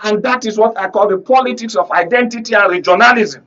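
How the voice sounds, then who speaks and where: A man's voice: sits high, fast talker, pushed and bright. A man with a Nigerian accent speaking emphatically as a guest analyst on a political panel, often posing rhetorical questions. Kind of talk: live panel discussion, political commentary. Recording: dull lo-fi broadcast audio.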